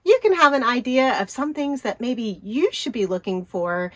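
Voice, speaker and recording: A middle-aged woman with a stuffy, hoarse voice from a cold, chatting upbeat through a shopping wish-list vlog. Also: on the high side, sing-song, normal speed. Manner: chatty, upbeat, with little laughs. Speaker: travel vlogger.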